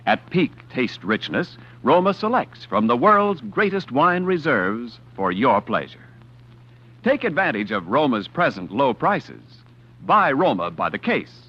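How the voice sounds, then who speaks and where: A polished male announcer delivers an old radio commercial with smooth persuasion. His pitch swings widely and cheerfully. The sound is dull and lo-fi, as on a 1940s broadcast.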